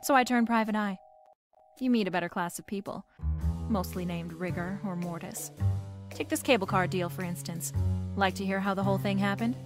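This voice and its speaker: A woman's voice: mid-range voice, moderate pace, over music, lightly acted. A woman playing a wisecracking, flirtatious private eye, narrating a noir radio drama in a wry, playful way.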